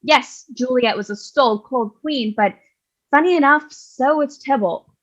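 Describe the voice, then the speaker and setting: A woman's voice: high-pitched, a little slow, highs cut off. A woman playing a brash, sarcastic, scolding nun narrator in a comic stage reading. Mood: wry, bossy. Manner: scolding, sassy. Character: brash, sarcastic nun who takes over storytelling.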